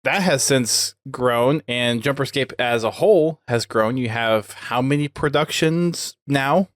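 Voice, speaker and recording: Male podcast host, mid pitch, casual interviewer tone, dry recording. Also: measured pace, dry recording.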